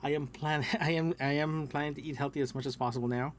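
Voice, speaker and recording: A middle-aged man with a gravelly voice recording a casual solo audio log, haltingly thinking aloud and repeating words. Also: on the high side, steady tone, a little hiss or hum. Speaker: podcast host. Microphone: small handheld recorder.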